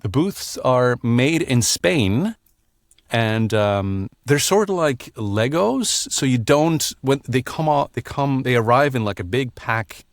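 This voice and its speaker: A man's voice: mid-range voice, takes it slowly, no room sound, studio quality. A middle-aged man from Belgium, a voiceover artist chatting casually and laughing often from his small home recording booth. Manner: relaxed, chatty, laughs often, restarts sentences. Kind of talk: casual interview chat.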